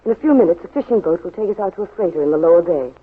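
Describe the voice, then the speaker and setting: A woman's voice: fairly low pitch, expressive, racing, breathy edge. An actress playing a devoted, urgent young woman in a wartime thriller, with earnest, dramatic 1940s radio delivery. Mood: tender, pleading. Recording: muffled, phone-like 1940s radio recording.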